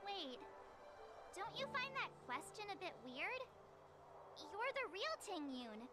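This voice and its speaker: A woman's voice: very high-pitched, very animated, slow, full character voice. A woman voicing a sweet, curious young girl adventurer in a video game, casual and straightforward in how she talks. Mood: puzzled, candid. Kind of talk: scripted video game dialogue. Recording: clear music behind the voice. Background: game music.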